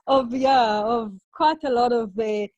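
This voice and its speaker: A woman from Latvia who grew up in Israel speaks slowly and calmly, with a slightly high, husky voice. She hosts a spiritual conversation in a measured, affirming way. The sound is dry and close, as on a video call. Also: dry recording, poor audio. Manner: calm, measured, warm affirmations.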